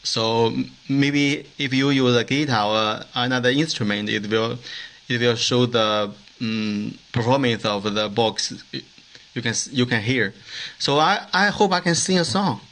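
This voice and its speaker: A man giving an informal demonstration of a hand-made device in halting, non-native English. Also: medium pitch, fairly bright tone.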